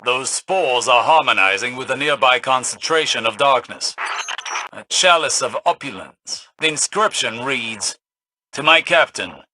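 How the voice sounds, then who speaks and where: A man's voice: mid-range voice, animated, a little slow, sharp tone, heavily acted, rough recording. A man acting a studious, solemn companion spirit in a video game, speaking precisely and broodingly like an erudite investigator. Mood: intent. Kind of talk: dramatic video game dialogue.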